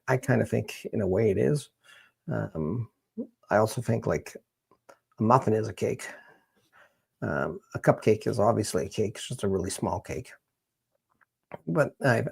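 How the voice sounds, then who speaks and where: A Canadian man hosting a casual livestream, chatting to viewers in a rambling, halting way as he thinks aloud, with a gravelly, husky voice. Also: medium pitch, a little mellow. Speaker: livestream host, genealogist.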